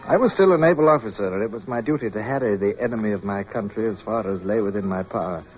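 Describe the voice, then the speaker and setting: An actor with an English accent playing a weary, dutiful naval officer who narrates in formal, measured style in a radio drama. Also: male voice, on the low side, soft background music, natural voice. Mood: weary, reflective. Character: weary, dutiful British naval officer, resolute yet dispirited. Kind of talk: radio drama acting, first-person narration. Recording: dull, noisy vintage radio recording.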